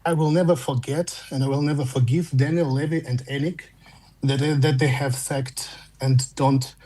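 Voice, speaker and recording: A man with a Russian accent, calling in to a fan show, speaking English as a second language in halting phrases with frequent restarts. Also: medium pitch, fairly even intonation, warm tone. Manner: halting, repeats words and restarts while searching for English. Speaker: caller. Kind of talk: phone-in fan chat.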